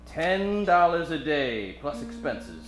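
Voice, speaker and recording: A hard-boiled, cocky private eye from a radio play parody, played by a man. The voice is on the high side and swings freely. It sounds roomy, with music clearly behind it. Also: big pitch swings, slow, fairly bright tone, heavily acted. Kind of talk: old-time radio detective parody.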